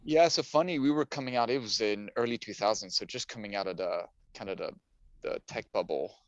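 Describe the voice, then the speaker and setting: A man's voice: lowish voice, fairly quick, dry recording. A middle-aged man from Paris, a native French speaker, giving casual, story-like answers as an interview guest. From Paris, France. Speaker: podcast guest, finance professional. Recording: dull, muffled lo-fi audio.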